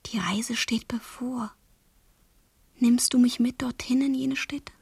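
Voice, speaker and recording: An actress playing a passionate, wilful thirteen-year-old girl in love, in a literary radio play, with a breathy, husky, intense delivery. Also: on the high side, fairly even intonation, takes it slowly, no room sound, full character voice. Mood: pleading. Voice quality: breathy, husky, often near a whisper. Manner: intense, demanding, dramatic delivery.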